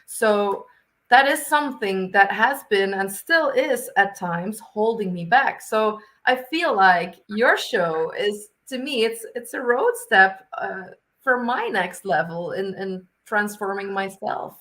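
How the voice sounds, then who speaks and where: A woman's voice: medium pitch, animated, slow pace, a bit bright. A woman from Belgium, speaking as a guest on a podcast in an earnest, candid way. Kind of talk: casual interview talk.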